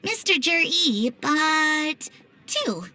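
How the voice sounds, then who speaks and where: A woman's voice: sits high, very animated, drawn-out words, bright, forceful tone, heavily acted. An actress playing a courteous, businesslike woman antiques shopkeeper in a video game, with a salesmanlike manner. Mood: polite, businesslike.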